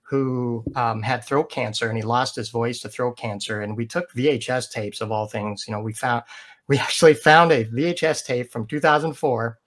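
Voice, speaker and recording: A man's voice: mid-range voice, steady tone, normal speed, a bit bright. A man who is a guest in a casual interview, telling stories with animation and frequent laughs. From Michigan, USA.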